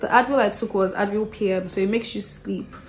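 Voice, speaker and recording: A woman's voice: medium pitch, fairly even intonation, brisk, roomy sound. A young woman, chatting casually to a handheld camera at home in a vlog, full of "like" fillers. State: sick, congested. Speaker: vlogger. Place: bedroom and apartment at home. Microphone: handheld camera at arm's length.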